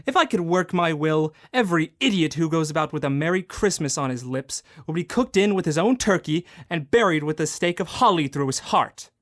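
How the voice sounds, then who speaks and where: An actor playing a bitter, miserly old moneylender in a student radio drama, snarling and barking scornful orders. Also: male voice, a little high, lively intonation, fairly quick, hardly any pauses, a bit bright. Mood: contemptuous.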